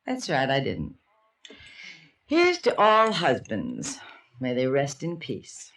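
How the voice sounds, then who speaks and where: Teasing, tipsy noir widow. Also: female voice, extremely low pitch, wildly swooping pitch, slow pace, a bit bright, distant mic, heavily acted. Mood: flirtatious, tipsy. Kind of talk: 1950s radio noir acting. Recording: off-mic vintage radio recording.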